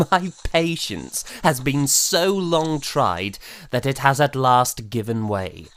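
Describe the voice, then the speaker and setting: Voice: mid-range voice, slow pace, fairly bright tone. An actor playing an aggrieved, spirited young composer of the 1780s in a florid, theatrical period audio drama style. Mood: bitter, indignant. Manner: theatrical, florid, hesitant sputtering. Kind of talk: period audio drama acting, letter narration.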